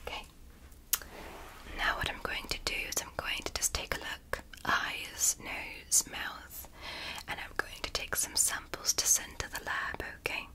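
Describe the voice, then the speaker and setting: A woman whispering in ASMR roleplay as a curious, gentle alien doctor examining a human, speaking slowly and clinically with a breathy whisper up close to the mic. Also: natural voice, poor audio. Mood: soothing curious. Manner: slow, soft, clinical and fascinated. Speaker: ASMR creator. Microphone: close sensitive microphone.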